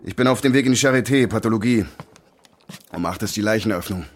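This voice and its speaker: Gruff, weary police detective. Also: male voice, on the low side, fast talker. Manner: terse, coarse-mouthed, commanding. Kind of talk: naturalistic German radio drama acting.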